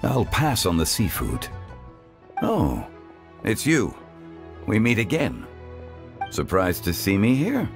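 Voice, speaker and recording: Dignified game scholar, very low voice, measured, formal, calm. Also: male voice, gravelly, soft background music, heavily acted. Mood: composed. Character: calm, dignified, older-seeming male scholar.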